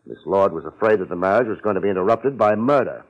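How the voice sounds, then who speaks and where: A man's voice: on the low side, expressive, fast, husky. A man playing a sardonic, wisecracking private detective in a 1950s radio drama, speaking in terse, clipped sentences. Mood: probing, sardonic. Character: hard-boiled wisecracking male private detective. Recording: muffled vintage 1950s radio recording.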